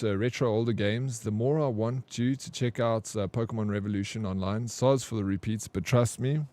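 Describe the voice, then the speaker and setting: A young man with a Johannesburg South African accent, live-streaming a game and chatting excitedly with his chat in slangy, casual talk. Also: on the low side, no room sound.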